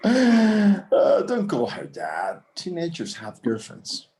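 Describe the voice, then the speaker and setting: An actor playing an elderly, stubborn but tender Mexican-American rancher father in a table read, halting and slipping into Spanish. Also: on the high side, huge pitch swings, warm tone, full character voice. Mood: reflective, gentle. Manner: halting, repeating words, dropping in Spanish. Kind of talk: screenplay table read acting.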